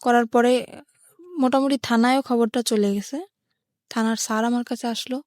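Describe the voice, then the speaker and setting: A woman's voice: fairly high pitch, steady tone, normal speed, a little mellow, dry recording, studio quality. A young woman with a Bangladeshi accent, telling her life story as an interview guest.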